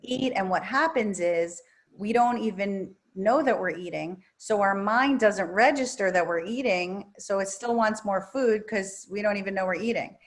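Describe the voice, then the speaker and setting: A woman therapist and author answering questions at a virtual book talk, calm and soothing, with lively emphasis. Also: mid-range voice, moderate pace.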